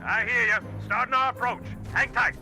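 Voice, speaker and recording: A man playing a gruff, cocky, upbeat marine sergeant in a video game. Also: very high voice, steady tone, normal speed, bright, forceful tone, faint music behind, exaggerated voice. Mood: rousing. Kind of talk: video game cutscene acting.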